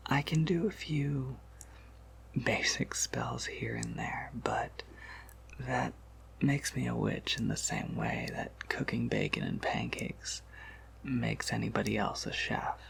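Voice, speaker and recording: A man playing a polite, slightly scatterbrained vampire apothecary in an ASMR roleplay, whispering gently and hesitantly to the listener. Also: huge pitch swings, slow pace, heavily acted. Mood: musing, modest. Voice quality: breathy whisper breaking into creaky low murmur. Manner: gentle, considerate, hesitant with small asides.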